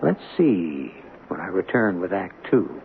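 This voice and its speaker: Casting: a knowing mystery-radio narrator with a gravelly edge, wry rhetorical delivery, old-time muffled sound. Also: male voice, low-pitched, big pitch swings, a little slow, very noisy, exaggerated voice. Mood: wry, ominous. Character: wry, knowing male storyteller of mystery tales. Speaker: host and narrator. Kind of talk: 1970s radio drama host narration. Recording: muffled vintage radio broadcast with music.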